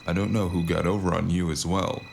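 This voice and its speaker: A man playing a sardonic, world-weary cyberpunk investigator in an audio drama, narrating in first person with a gravelly, wry delivery. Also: low voice, level tone, brisk, a little hiss or hum. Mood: defensive, sarcastic. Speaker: narrator and lead character.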